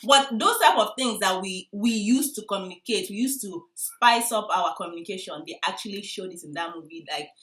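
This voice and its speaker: A young woman with a Nigerian Igbo accent, chatting casually and expressively to viewers as she reviews a movie, with excitable, emphatic delivery. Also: medium pitch, brisk, few pauses. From Enugu, southeast Nigeria. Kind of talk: casual vlog chat to viewers. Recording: roomy indoor echo.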